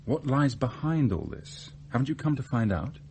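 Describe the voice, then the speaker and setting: An actor playing a lovestruck young suitor in a radio drama, direct and questioning, with an English accent. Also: male voice, medium pitch, sing-song, normal speed, vocal fry, darkish tone, slight background noise. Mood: insistent.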